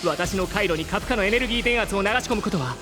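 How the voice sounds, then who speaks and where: An actor playing an earnest, guileless artificial intelligence in an audio drama, speaking formally and courteously with open feeling. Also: male voice, high-pitched, rapid-fire, over music, lightly acted. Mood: alarmed. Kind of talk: Japanese audio drama acting.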